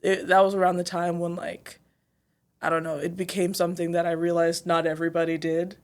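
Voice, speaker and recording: A woman's voice: lowish voice, monotone, fairly quick. A young woman from Zambia, an R&B singer, chatting as a guest in an interview, thoughtful and full of fillers. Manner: thoughtful, many fillers and "like", laughs often. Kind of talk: casual interview chat.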